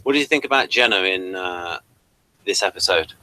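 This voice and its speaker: A British man hosting a casual online review chat in a rambling, halting way. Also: low-pitched, expressive, pushed and bright, poor audio. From Britain. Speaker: host of online hangout. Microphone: webcam livestream setup.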